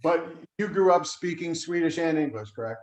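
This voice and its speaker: A man's voice: on the high side, animated, moderate pace, reverberant. A male reporter asking casual questions at a press conference, hesitating with fillers.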